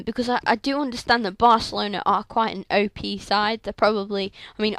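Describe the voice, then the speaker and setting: A young woman with a sore, croaky throat, chatting through video game commentary on a headset mic in a rambling way full of fillers. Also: mid-range voice, a bit bright, no room sound. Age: young adult. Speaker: gaming channel host. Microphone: headset microphone.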